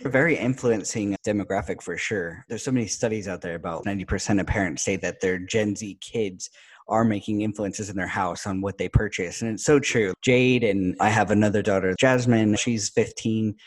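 A man's voice: lowish voice, normal speed. A man in his forties hosting a casual interview, upbeat and enthusiastic as he talks. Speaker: interview host.

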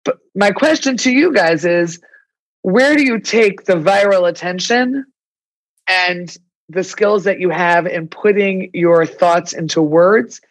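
A woman's voice: on the low side, slow, poor audio. A woman with a New York accent hosting a podcast, asking wordy, conversational questions that she rephrases as she goes. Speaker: podcast host, interviewer. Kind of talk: conversational interview.

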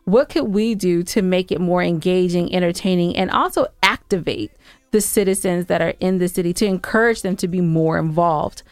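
A woman with a fairly low voice and noticeable vocal fry, chatting casually as a podcast co-host. She is playfully argumentative and insistent, and the sound is close and dry. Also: moderate pace, darkish tone, no echo. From Georgia, United States. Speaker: podcast co-host and audio drama producer. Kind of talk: casual banter and show updates.

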